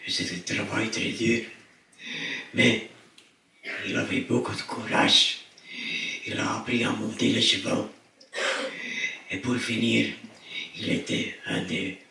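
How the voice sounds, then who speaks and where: An older man tells family stories on a theatre stage, his voice raspy and half-whispered since throat surgery. He speaks very slowly, pausing to find his words, and his voice is low and gravelly. The sound comes from a distant mic in a roomy, echoing hall. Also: steady tone, sharp tone. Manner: slow, halting, searches for words. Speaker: circus director, interviewee. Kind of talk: storytelling on stage. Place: theatre stage before an audience. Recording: echoey hall, distant mic, faint noise.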